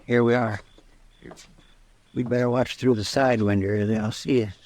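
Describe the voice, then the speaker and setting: An actor playing a folksy, eager, deferential deputy sidekick in a radio western. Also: male voice, medium pitch, steady tone, moderate pace, darkish tone, lightly acted. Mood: eager, watchful. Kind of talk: old-time radio western acting.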